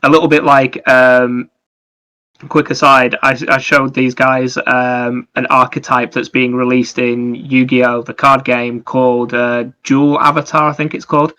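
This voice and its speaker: A man's voice: medium pitch, flat delivery, measured pace, pushed and bright, clean tone. A man chatting casually as a gaming podcast co-host, wandering off into anecdotes.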